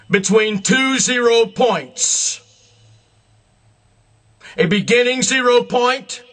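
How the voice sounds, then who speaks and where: A man delivering a solemn, scripted religious address in a grandiose, emphatic manner, in deliberate short phrases. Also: high-pitched, pushed and bright. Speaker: self-proclaimed religious leader.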